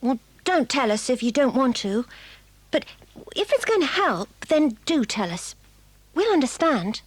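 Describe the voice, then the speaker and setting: A woman playing a warm-hearted, bossy schoolgirl with an English accent in a children's audio drama. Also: sits high, sing-song, measured pace, slightly rough, dry recording, heavily acted. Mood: sympathetic. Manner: earnest, plucky, jolly schoolgirl talk. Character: warm-hearted, bossy, breezy boarding-school girl, a twin.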